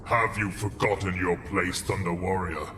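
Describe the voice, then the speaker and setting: A man acts out an imperial guardian captain, low and stern. He gives orders with full authority in a sci-fi audio drama, with clear noise behind him. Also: level tone, normal speed, sharp tone. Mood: commanding. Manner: authoritative, commanding. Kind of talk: dramatic audio story acting.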